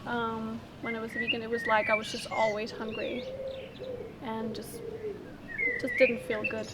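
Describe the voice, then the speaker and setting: Interviewee, woman from Denmark, slightly high voice; casual, halting storytelling; noisy outdoor take. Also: level tone. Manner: halting, thinks aloud.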